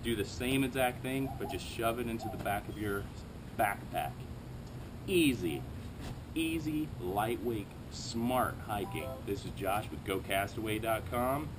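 A man in his thirties hosting a casual how-to demonstration outdoors, chatting in a relaxed way while he kneels and packs gear. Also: on the low side, measured pace, background noise. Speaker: outdoor gear show host. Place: backyard lawn, outdoors.

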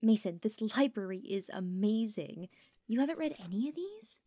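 A woman's voice: mid-range voice, sing-song, moderate pace, mellow, no echo, clean studio sound. A woman playing an eager, curious young werewolf bookworm in an audio drama, enthusiastic. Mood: excited, curious. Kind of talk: audio roleplay drama acting.

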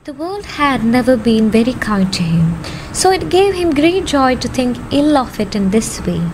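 High-pitched young woman narrating, noisy background. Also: very animated, moderate pace. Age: young adult. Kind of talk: scripted storytelling narration.

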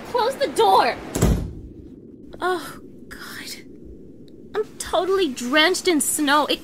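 Woman as bossy young mean girl for audio roleplay; higher voice, sarcastic, exasperated, loud ambience behind. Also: a little hiss or hum, heavily acted. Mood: alarmed, disgusted. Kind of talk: acted audio roleplay drama, addressed to the listener.

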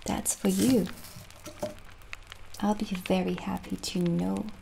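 A young woman playing a gentle, kindly witch shopkeeper in an ASMR roleplay, soft-spoken and soothing, slipping toward breathy near-whispers. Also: medium pitch, level tone, takes it slowly, a little hiss or hum, poor audio. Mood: calm, reassuring. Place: small indoor candlelit set.

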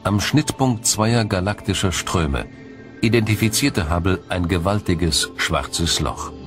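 A man reading scripted documentary narration in a measured, explanatory manner. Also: low voice, a bit bright, faint music behind.

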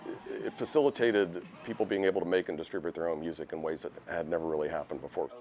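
Deep-voiced, fast-talking American man. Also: steady tone, darkish tone. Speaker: writer, interviewee. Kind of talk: casual interview talk. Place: music shop, indoors.

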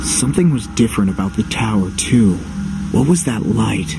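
A man playing a cynical, gruff young swordsman in a video game, speaking curtly and dryly. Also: fairly low pitch, expressive, moderate pace, rough recording. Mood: wary, curt. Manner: curt, dry, suspicious. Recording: music behind.

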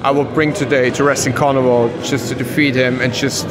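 A man's voice: medium pitch, level tone, fairly quick, a crowd in the background. A man in his thirties from Germany with a German accent, a wrestler giving a confident, earnest backstage interview in English, his second language, sometimes searching for words. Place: backstage at a wrestling event, before a sponsor wall. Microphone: handheld mic held by the interviewer.

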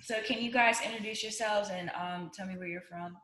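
A woman's voice: medium pitch, fairly even intonation, a little fast, a bit bright. A young woman hosting an interview, putting questions to a group over a video call. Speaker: interviewer, host. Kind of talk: scripted intro and interview questions. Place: indoor room on a video call. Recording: roomy, echoing video call.

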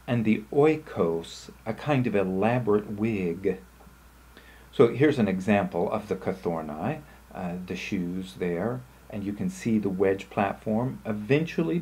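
A man lectures over slides in a low, soft-edged voice with a husky grain and a mellow, dark tone. He explains things in measured phrases, pausing as he goes.

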